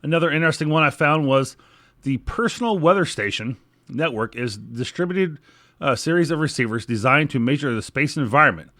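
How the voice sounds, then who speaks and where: A man's voice: a little high, lively intonation, fairly quick, bright, forceful tone, dry recording. An American man hosting a podcast, moving between read news items, sponsor reads and informal remarks. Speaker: podcast host.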